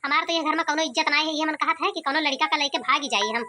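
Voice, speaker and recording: A woman's voice: high-pitched, very fast, noisy background, lightly acted. A woman voicing a resentful, complaining young college girl in an Awadhi cartoon comedy, with an Awadhi accent. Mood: sulky, indignant. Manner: complaining, scolding. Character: resentful, sharp-tongued young college-going younger daughter.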